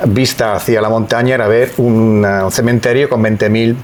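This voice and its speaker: A man's voice: lowish voice, fairly even intonation, moderate pace, slight background noise. A middle-aged man from Italy's Veneto, speaking Spanish with an Italian accent as a podcast guest, reflective and hesitant with many restarts. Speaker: guest, EU civil servant. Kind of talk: podcast interview.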